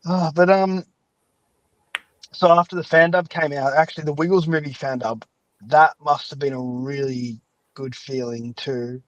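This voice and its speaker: A man's voice: fairly high pitch, steady tone, unhurried, dry recording, dull audio. A man hosting a podcast in casual chat, talking in an enthusiastic, rambling, chatty way. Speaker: podcast host and fan-dub maker.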